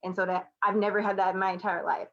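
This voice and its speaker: A dull, lo-fi recording carries a woman from the United States with a medium-pitched voice. She speaks as a panelist in a casual, reflective discussion. Her delivery is candid and conversational, with emphatic asides. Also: little pitch movement, quick pace, a bit bright. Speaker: artist, educator and student panelist.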